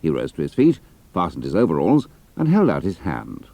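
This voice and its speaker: A man's voice: rumbling low, huge pitch swings, a little fast, gravelly, dark tone, dry recording. A man narrating a dramatised adventure story.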